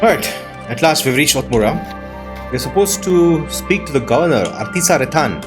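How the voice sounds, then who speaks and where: A man's voice: a little high, lively intonation, brisk. A man hosting a playthrough, thinking aloud and exclaiming as he gives casual gameplay commentary. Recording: game music behind the voice.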